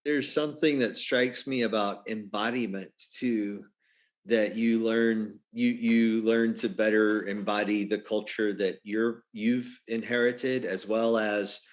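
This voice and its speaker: A man panelist speaking thoughtfully on a video call, with halting restarts. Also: fairly low pitch, steady tone.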